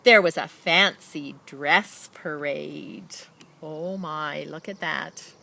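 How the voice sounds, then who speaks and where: A woman reads a children's picture book aloud expressively, with dramatic emphasis and pauses and casual asides to her listeners. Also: deep voice, vocal fry, pushed and bright. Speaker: storybook reader.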